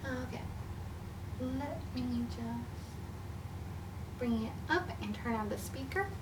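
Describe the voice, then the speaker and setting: High-voiced woman hosting children's storytime. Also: takes it slowly. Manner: expressive, simple explanations for young children. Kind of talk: picture-book read-aloud and craft talk for preschoolers. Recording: distant mic with faint background noise.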